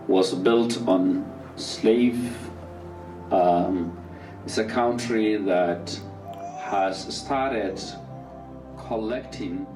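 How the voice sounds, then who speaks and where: A man from Somalia giving a public talk in a slow, halting way, with many fillers and restarts. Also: on the low side, far from the mic. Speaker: speaker at a community talk. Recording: roomy, off-mic, faint music behind.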